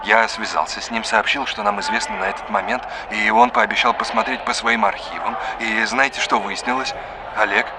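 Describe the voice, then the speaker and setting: A man's voice: on the low side, fairly even intonation, brisk, background noise, close to an everyday voice. An actor playing a courteous, erudite male art historian in an audio drama, explaining and quizzing like a teacher. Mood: pleased, businesslike.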